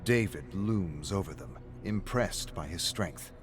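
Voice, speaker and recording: A man's voice: low-pitched, measured pace. A man giving a scripted, dramatic narration of a gritty game story. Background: music.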